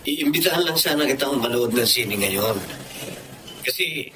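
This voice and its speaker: A man's voice: medium pitch, lively intonation, normal speed, noisy background, lightly acted. An actor with a Filipino accent playing a friendly, playful, eager male suitor in a radio drama. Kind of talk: Tagalog radio drama acting.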